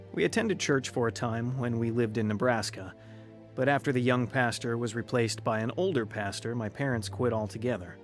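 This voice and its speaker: An actor playing a grown man who soberly recounts his troubled youth in measured, plain radio drama narration. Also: mid-range voice, close to an everyday voice. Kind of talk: scripted first-person radio drama narration. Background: music.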